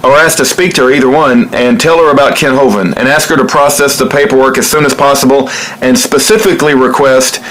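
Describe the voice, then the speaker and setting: A man's voice: mid-range voice, steady tone, a little fast, a bit bright, rough recording. A man speaking in an earnest, polite and pleading way, like someone leaving an appeal by phone. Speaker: activist making and sharing a phone appeal.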